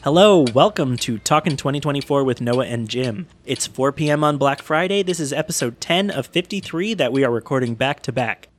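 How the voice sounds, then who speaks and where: A man's voice: mid-range voice, expressive, brisk, hardly any pauses, soft background music. A man hosting a podcast, moving between a scripted intro and casual chat. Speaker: podcast host.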